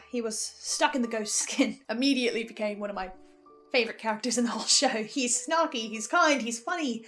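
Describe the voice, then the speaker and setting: A woman giving a casual, animated recap and reaction, often interrupting herself with asides. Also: fairly high pitch. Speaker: host of a podcast reaction show.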